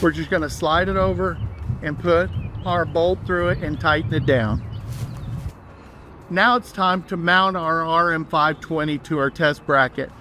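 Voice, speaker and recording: A man's voice: on the high side, fairly even intonation, a little slow, sharp tone, slight background noise. A man giving an instructional walkthrough as a trainer, explaining each step plainly.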